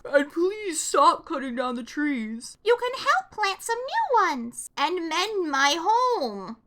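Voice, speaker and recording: A woman's voice: very high-pitched, sing-song, takes it slowly, a bit bright, pitched above the performer's own voice, full character voice. A woman reading a storybook, voicing worried forest animals and children who plead urgently. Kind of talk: children's storybook read-aloud.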